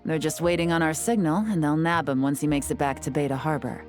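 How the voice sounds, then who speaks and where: A woman voicing a composed female secret agent in a video game, who speaks in a calm, measured and confident way and gives orders crisply. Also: low-pitched, lightly acted. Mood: commanding. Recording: game audio with faint music underneath.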